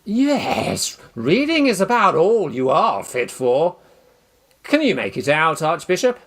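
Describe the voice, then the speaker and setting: A man's voice: a little high, huge pitch swings, takes it slowly, a bit bright, heavily acted. A man acting a bullying, hot-tempered duke in a radio play, blustering and domineering. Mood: irritated. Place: actor's home, recorded remotely.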